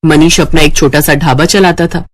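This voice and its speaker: A woman narrating a scripted story in an expressive storytelling manner. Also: low voice, moderate pace, no echo.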